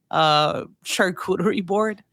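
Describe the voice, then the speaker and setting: A woman with a fairly low voice talks casually as a guest on a podcast. Her delivery is informal and rambling, full of "like" as she comments. The sound is dry, with very little room in it. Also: extremely slow, a bit bright, no room sound. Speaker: podcast guest, food trends newsletter writer.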